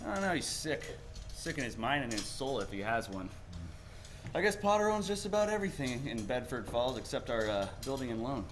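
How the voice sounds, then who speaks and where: An actor playing a weary, kindly older small-town father in a 1940s radio play, speaking gently and haltingly. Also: mid-range voice, very animated, background noise, natural voice.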